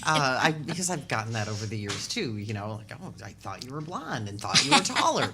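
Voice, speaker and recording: A woman hosting a casual radio chat, quick to react and joke. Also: extremely low pitch, very animated, heavy background noise.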